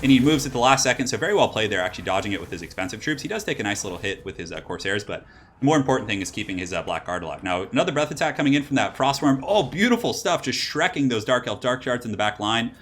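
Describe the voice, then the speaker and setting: Fast-talking, slightly raspy male game caster. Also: mid-range voice, animated, fairly bright tone, slight background noise. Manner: rambling, casual, laughs and exclaims at plays. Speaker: esports caster and channel host. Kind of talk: solo game commentary.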